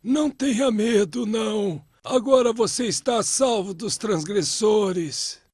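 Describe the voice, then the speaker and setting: A man playing a kindly, protective old father in a cartoon religious story, speaking in a measured way. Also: high voice, a little mellow, husky, dry recording, studio quality, exaggerated voice. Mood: kind, reassuring. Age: older.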